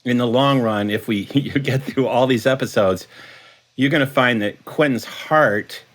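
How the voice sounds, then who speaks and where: Man, middle pitch, reflective storyteller manner, conversational interview guest. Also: a little slow. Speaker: guest writer, creator of a detective series.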